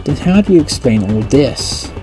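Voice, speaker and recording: A man's voice: medium pitch, very animated, moderate pace, lightly acted, rough recording. A man voicing a suspicious, commanding female leader who questions and threatens, over background music. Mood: angry.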